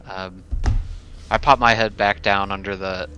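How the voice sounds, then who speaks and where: A man's voice: lowish voice, steady tone, unhurried, bright, forceful tone, a little hiss or hum. A man playing a tabletop roleplaying game, talking casually and hesitantly in trailing phrases. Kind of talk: casual in-character roleplay chat.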